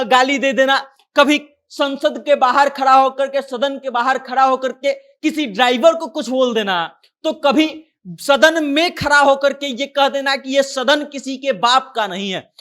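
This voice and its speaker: High-pitched news commentator. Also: fairly bright tone. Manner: conversational retelling, acting out quoted dialogue. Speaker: news presenter. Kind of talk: informal news commentary recounting a viral story.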